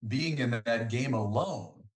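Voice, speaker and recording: A man's voice: medium pitch, takes it slowly. A man hosting an interview podcast, putting questions to a guest in a conversational style.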